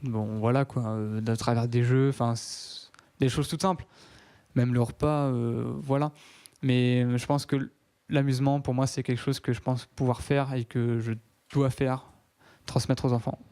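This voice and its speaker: A teenage boy answers interview questions on student radio, his voice smooth and mellow. He hesitates often, restarting sentences and filling pauses. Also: mid-range voice, flat delivery. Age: teens. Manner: hesitant, many fillers and restarts. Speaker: interview guest.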